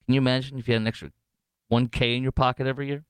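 A man's voice: mid-range voice, level tone, rapid-fire, clear, resonant tone, dry recording. A man with an American accent hosting talk radio in an opinionated, jokey, emphatic way, often wandering off on digressions. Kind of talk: unscripted talk radio monologue with live ad reads.

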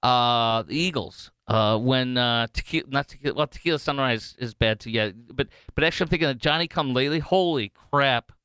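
A man's voice: mid-range voice, brisk, hardly any pauses, sharp tone, clear, resonant tone, dry recording. A man with an American accent hosting talk radio in an opinionated, jokey, emphatic way, often wandering off on digressions. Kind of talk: unscripted talk radio monologue with live ad reads.

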